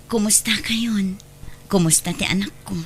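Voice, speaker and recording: A woman's voice: medium pitch, a little slow, a little hiss or hum, lightly acted. A woman playing an emotional, long-suffering mother full of hurt in an audio drama. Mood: remorseful, sorrowful. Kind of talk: Ilocano audio drama acting.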